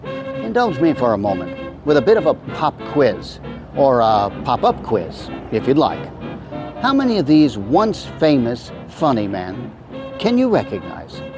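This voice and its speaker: A man in his sixties hosting with a scripted but chatty, conversational delivery to camera. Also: mid-range voice. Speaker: host.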